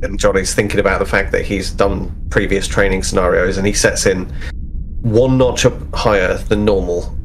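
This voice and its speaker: A man with a fairly low voice plays a tabletop roleplaying game. He improvises casually and haltingly, thinking aloud over faint ambient noise. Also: fairly even intonation, poor audio. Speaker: player in a roleplaying podcast.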